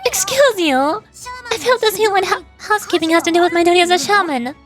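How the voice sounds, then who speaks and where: A very high-voiced, proud lady shaman from a fantasy game, acted out by a woman. She is prickly and easily flustered, speaking over music. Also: lively intonation, a little fast, a bit bright. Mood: indignant. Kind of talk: game dialogue read aloud.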